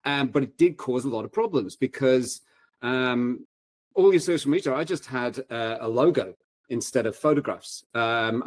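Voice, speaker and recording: A man's voice: medium pitch, no echo. Man telling wry anecdotes, dry close recording. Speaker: podcast guest, paramedic and fiction writer. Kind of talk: casual anecdotal interview talk.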